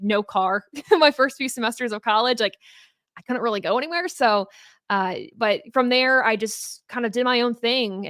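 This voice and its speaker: A woman's voice: medium pitch, fairly bright tone, dry recording, studio quality. A young woman talking about her career as a podcast guest, upbeat and chatty with many "like"s. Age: young adult. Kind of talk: casual interview chat.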